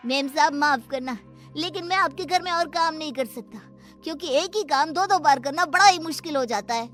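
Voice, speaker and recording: Storybook role: meek male house servant, female performer, high voice, pleading and respectful, music bed. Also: a little fast, a bit bright. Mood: pleading, fed up. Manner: deferential, pleading. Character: humble, harried male household servant, meek and put-upon. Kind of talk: moral story dialogue.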